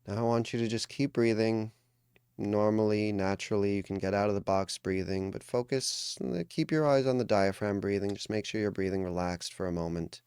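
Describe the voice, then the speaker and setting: A man leading a guided meditation as a coach, speaking in a calm, soothing, deliberate way with pauses. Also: lowish voice, clear, resonant tone, dry recording, studio quality.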